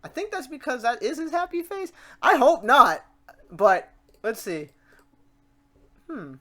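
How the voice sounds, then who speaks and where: A woman hosting a casual software tutorial, talking through each step aloud in an informal, playful way. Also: high-pitched, big pitch swings, measured pace. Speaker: tutorial host.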